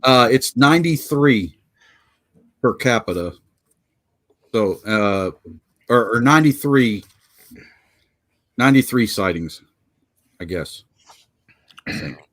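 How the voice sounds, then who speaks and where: A man's voice: lowish voice, animated, a little slow, long pauses, a bit bright. A man from Pennsylvania co-hosting a casual podcast chat, rambling and joking as he fumbles through his notes on a video call. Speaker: podcast co-host.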